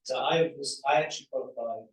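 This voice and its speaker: A man giving a conference presentation, explaining in a relaxed way with frequent fillers and self-corrections. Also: medium pitch, a little fast. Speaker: entrepreneur presenting at a panel event. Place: event room. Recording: roomy echo, mic at a distance.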